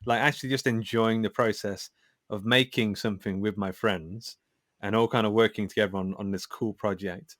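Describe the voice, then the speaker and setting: Low-voiced man in casual interview. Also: fairly even intonation, normal speed, fairly bright tone, dry recording, studio quality. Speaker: podcast guest, writer.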